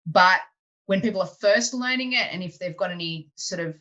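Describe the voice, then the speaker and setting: A lower-pitched woman with a bright, forceful voice, hosting a podcast. She chats animatedly over a video call and keeps tucking in "you know" and "like". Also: normal speed, lo-fi sound. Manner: animated, chatty, many fillers like "you know". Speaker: podcast host and improv teacher. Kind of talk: casual interview chat.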